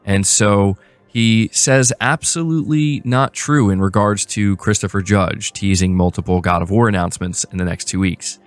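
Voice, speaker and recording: Low-voiced man giving gaming news commentary. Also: moderate pace, smooth, soft background music. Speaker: host of a gaming news channel. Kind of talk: gaming news commentary, part read out, part casual opinion.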